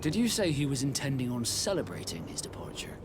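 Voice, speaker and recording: An actor playing a proud, composed young sea captain in a fantasy game, speaking in a measured, formal way. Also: male voice, medium pitch, huge pitch swings, background noise. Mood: formal, businesslike. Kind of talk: scripted fantasy game dialogue. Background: music.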